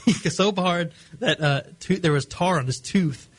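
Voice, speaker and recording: A man's voice: sits high, a little slow. A man with an American Midwestern accent telling stories casually on a radio show in a matter-of-fact, unfazed way. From rural Missouri, US. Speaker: radio show guest, sports columnist. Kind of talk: casual banter, storytelling.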